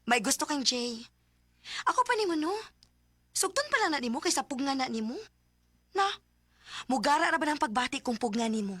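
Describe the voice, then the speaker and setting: An actress playing a teasing, excitable young confidante in a radio drama, with a Cebuano accent. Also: female voice, high voice, moderate pace, pauses often, a little hiss or hum. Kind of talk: Bisaya radio drama acting.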